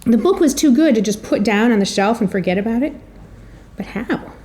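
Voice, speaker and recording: A woman giving a prepared introduction speech to an audience in a theatrical, storytelling way, with rhetorical questions and pauses. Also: on the high side, lively intonation, dark tone. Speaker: editor and marketing director introducing an author. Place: room at a public presentation.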